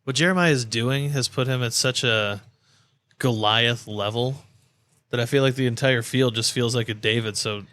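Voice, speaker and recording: A man talking casually on a home podcast, with a bright, forceful tone at a middle pitch. He keeps agreeing with quick short replies. The sound is dry and close, with no room echo. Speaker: podcast co-host. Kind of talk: casual sports chat. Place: his home.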